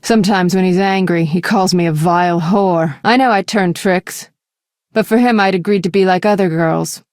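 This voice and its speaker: An actress playing a streetwise escort in a fantasy video game, flirtatious yet tender, with a coy, sweet-talking manner. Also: female voice, on the low side, moderate pace, darkish tone, no room sound, clean studio sound, close to an everyday voice. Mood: worried, pleading.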